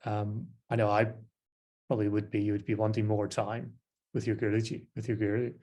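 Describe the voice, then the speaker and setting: Lower-voiced man hosting a podcast. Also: level tone, a little fast, dark tone. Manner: hesitant, filler-heavy, leading the discussion. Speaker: podcast host. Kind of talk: casual discussion.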